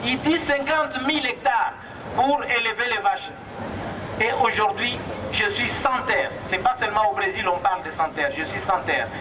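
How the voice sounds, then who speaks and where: High-voiced Congolese man, distant and noisy. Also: expressive, moderate pace. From Democratic Republic of the Congo. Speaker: activist speaker at a protest. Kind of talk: impassioned public protest speech. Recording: distant mic, noisy outdoor street sound.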